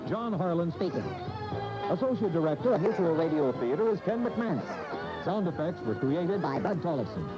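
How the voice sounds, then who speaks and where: A man's voice: on the high side, big pitch swings, moderate pace. An American man reading scripted credits as the announcer of a radio drama in 1980. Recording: dull AM radio tape, music behind. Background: music.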